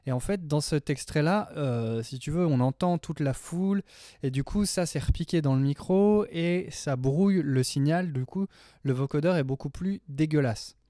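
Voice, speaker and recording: A man's voice: fairly high pitch, normal speed, warm tone, no echo. A man, chatting informally and hesitantly as he hosts a home-studio tutorial on a close mic. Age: 30s. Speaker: music production tutorial host. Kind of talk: casual tutorial chat. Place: small home studio room, indoors. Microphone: close studio mic on boom arm.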